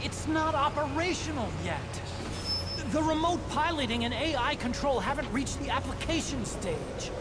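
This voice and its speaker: An actor playing a nervous, self-justifying scientist, pleading and indignant in a video game. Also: male voice, expressive, normal speed, loud music behind the voice. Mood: anxious protest. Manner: pleading, high-strung protest.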